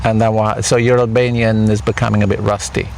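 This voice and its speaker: A man's voice: lowish voice, little pitch movement, a little fast, vocal fry, darkish tone, slight background noise. A man whose first language is Italian, interviewing a guest in a casual, thoughtful way with many hesitant restarts. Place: outdoors in a garden.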